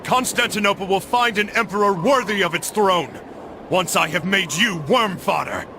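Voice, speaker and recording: An actor playing a righteous young knight in a video game, stern, earnest and declamatory, set on vengeance. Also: male voice, sits high, moderate pace, noisy background. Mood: accusing. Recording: dramatic music behind the voice.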